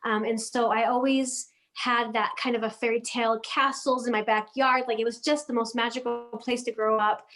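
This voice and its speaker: A woman who grew up in Denmark speaks as a guest decorator in a relaxed interview. Her voice sits on the higher side, and she chats in a friendly way, stopping for ums and small restarts as she goes. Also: moderate pace, hardly any pauses, music in the background. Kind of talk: casual interview chat.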